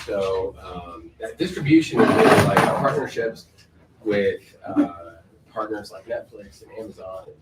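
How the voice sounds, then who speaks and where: A man as an executive guest in a casual interview, explaining in a measured, businesslike way with a loud voice. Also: lowish voice. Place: on a film set, outdoors. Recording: echoey, distant mic with clear background noise.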